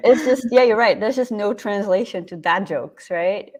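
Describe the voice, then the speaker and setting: A woman's voice: medium pitch, normal speed. A woman in her thirties co-hosting a casual live stream over a video call, chatting with restarts as she explains things. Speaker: co-host. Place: home room, indoors.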